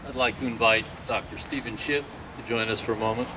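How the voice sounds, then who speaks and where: A man's voice: medium pitch, steady tone, fast. A man acting as master of ceremonies, formally introducing speakers at an outdoor event. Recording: far mic, loud crowd and outdoor noise.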